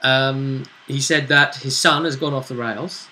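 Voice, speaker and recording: A man's voice: medium pitch, fairly even intonation, measured pace, pushed and bright, low-quality recording. A man casually recapping a tabletop role-play session as game master, rambling as he explains it.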